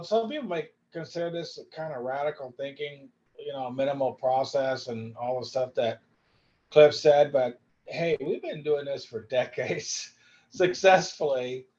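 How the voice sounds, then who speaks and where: Man co-presenting, informal and upbeat, dull lo-fi audio, slightly higher voice. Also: darkish tone. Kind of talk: casual talk, opening audience questions.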